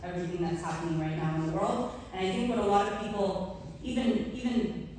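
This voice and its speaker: A woman's voice: mid-range voice, fairly even intonation, a little fast, a little mellow, a little hiss or hum. A Canadian woman in her forties giving an earnest, conversational speech to a community audience, with filler words. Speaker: award recipient giving a speech. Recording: echoey hall, voice from far off.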